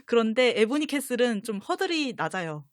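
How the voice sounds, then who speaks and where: A woman's voice: a little high, big pitch swings, fairly quick. A young adult woman guest in a casual chat, explaining her favorites at length in an enthusiastic, giggly manner. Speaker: guest on a fan talk show.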